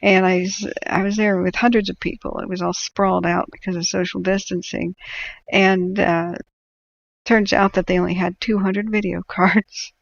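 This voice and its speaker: A tired woman with a gravelly, creaky voice rambles casually to her stream viewers, stopping often on ums and uhs. Her throat sounds ragged and worn out. The audio is dull and lo-fi, like a home livestream. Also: medium pitch, flat delivery, no room sound. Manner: rambling, halting, many fillers. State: exhausted. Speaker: wildfire tracking livestream host.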